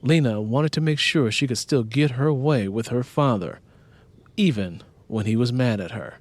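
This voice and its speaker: A man's voice: mid-range voice, normal speed, a little mellow, no echo. A man reading scripted audiobook fiction as its narrator.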